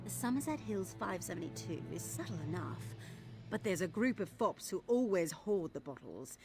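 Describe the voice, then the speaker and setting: A woman's voice: fairly low pitch, sing-song, moderate pace. A woman playing a disgruntled, sardonic palace servant in a video game. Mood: sly, conspiratorial. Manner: grumbling, sardonic. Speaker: game character actor. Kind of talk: video game character acting. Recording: music behind the voice.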